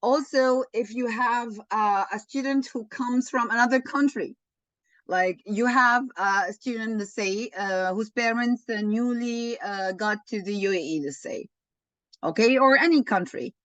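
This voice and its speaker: A woman leading an online teaching session, slow and encouraging, often thanking participants. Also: fairly high pitch, a bit bright, dry recording. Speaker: webinar lecturer. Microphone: video call. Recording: dull online call audio.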